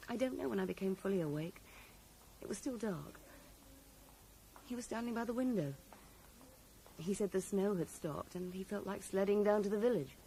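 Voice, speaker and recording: A woman's voice: medium pitch, normal speed, long pauses, warm tone, lightly acted. An actress playing a grieving, guarded and bitter woman in a radio drama, wistful yet curt with her questioner. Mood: wistful recollection. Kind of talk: 1980s radio drama acting. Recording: noisy 1980s radio broadcast recording.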